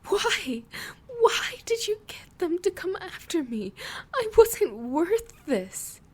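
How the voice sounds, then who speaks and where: A woman voicing a distraught young girl who sobs and blames herself, with a high, breathy, tearful delivery. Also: big pitch swings, slow pace, darkish tone, heavily acted. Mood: sobbing. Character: distraught young girl, sobbing and self-blaming.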